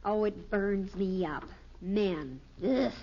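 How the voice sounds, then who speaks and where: A woman's voice: medium pitch, takes it slowly, warm tone, close to an everyday voice. A woman playing an exasperated, sarcastic American teenage daughter in 1950s radio sitcom style, on a muffled, hissy recording. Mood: sardonic grumbling. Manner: sarcastic, grumbling.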